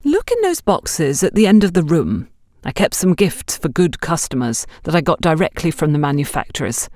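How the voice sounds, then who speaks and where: A woman's voice: low-pitched, big pitch swings, normal speed, a little mellow, dry recording. A woman narrator puts on a demanding female boss in an audiobook drama. She is curt and authoritative, her intonation rising and falling sharply, recorded close and dry. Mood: instructing.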